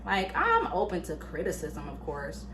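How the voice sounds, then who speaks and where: Woman in her late twenties, chatty animated vlogger, roomy echo, faint background hum. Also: fairly low pitch, moderate pace. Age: late 20s. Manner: animated, chatty, many fillers. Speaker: YouTuber. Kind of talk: casual motivational vlog. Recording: echoey room, faint ambience.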